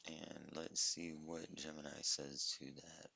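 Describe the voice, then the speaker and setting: A man with a raspy voice, chatting casually to viewers as he plays through a game. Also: very deep voice, little pitch movement, unhurried, sharp tone. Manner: chatty, talking to viewers while playing. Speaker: gameplay narrator. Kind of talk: casual let's-play commentary. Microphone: cheap microphone.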